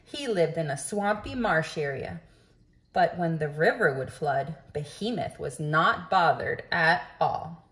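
A woman reading a children's book aloud in a deliberate, expressive way, as if speaking directly to young listeners. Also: deep voice. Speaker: storybook reader. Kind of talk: children's read-aloud narration.

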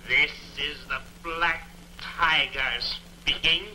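An actor playing a commanding, menacing invisible mastermind in a 1940s film serial, speaking slowly and deliberately with imperious authority. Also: male voice, a little high, fairly even intonation, pushed and bright, slight background noise, heavily acted. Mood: menacing, gloating. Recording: humming, echoey old film soundtrack.

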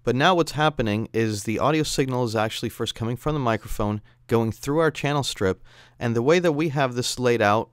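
A man narrating a software tutorial as an instructor, explaining in a conversational way. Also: medium pitch, smooth, no room sound, studio quality. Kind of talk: tutorial narration over screen demo.

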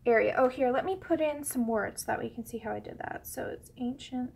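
High-voiced woman with a sniffly head cold. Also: fairly quick, creaky, slight background noise. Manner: chatty and rambling, many ums and restarts, drops to soft whispers at times. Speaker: coloring tutorial host. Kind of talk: casual real-time drawing tutorial.

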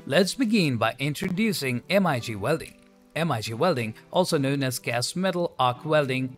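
Guy with a slightly high voice reading an explainer script, smooth and even, soft music behind. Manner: even, polished, no fillers. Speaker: channel narrator.

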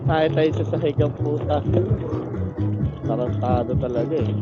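A man's voice: on the high side, slow, over loud music. A Filipino man chatting casually and swearing freely with friends during a motorcycle ride. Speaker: rider in a group of friends. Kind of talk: casual banter. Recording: dull, lo-fi intercom sound.

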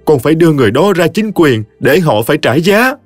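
A man voicing a grieving young woman, pleading in a high, strained, tearful voice. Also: very animated, fairly quick, dry recording, faint music behind. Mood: anguished.

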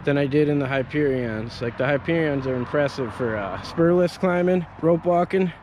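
A man's voice: a little high, normal speed, darkish tone, slight background noise, poor audio. A man in his thirties, an arborist, giving a casual, rambling gear review from up a tree outdoors on a head-mounted camera, full of fillers and restarts. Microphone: head-mounted action camera.

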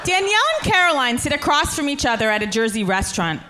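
A woman's voice: high voice, sing-song, quick pace, sharp tone. A woman co-hosting a casual live podcast on a theatre stage. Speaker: podcast co-host. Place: on stage in a theatre before an audience. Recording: slightly noisy live theatre recording.

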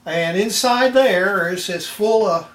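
A man's voice: high-pitched, slow. An older man giving a casual, folksy workbench demonstration, half muttering to himself as he works. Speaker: hobbyist restorer presenting his repair video. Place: indoor home electronics workshop. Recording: roomy echo, clattering tool and handling noise.

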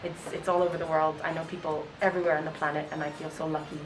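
A woman's voice: low-pitched, level tone, quick pace, recorded from a distance, noisy background. A woman in her twenties from Kenya, a school counseling intern, giving earnest interview answers with fillers and restarts while sitting outdoors on a school campus. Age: 20s. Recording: voice set back from the mic, music underneath.

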